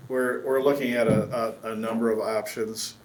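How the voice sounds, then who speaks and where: A man chairing a county board meeting, speaking in a measured way with many fillers and restarts. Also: mid-range voice, steady tone. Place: council chamber. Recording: echoey meeting room with faint hum.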